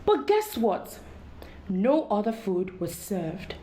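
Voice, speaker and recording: A woman reading a story aloud to children in an expressive storytelling style, addressing young listeners directly. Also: mid-range voice, takes it slowly, slight background noise.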